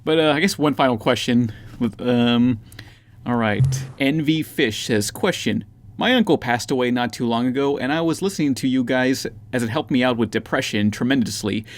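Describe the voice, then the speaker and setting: A man's voice: medium pitch, normal speed. A man hosting a podcast in casual, conversational chat. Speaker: podcast host.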